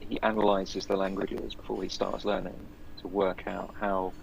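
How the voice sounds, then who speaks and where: A man's voice: sits low, little pitch movement, moderate pace, vocal fry, a little hiss or hum, rough recording. A man explaining things matter-of-factly in an interview on a tournament broadcast. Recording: clip with chatter from a crowd.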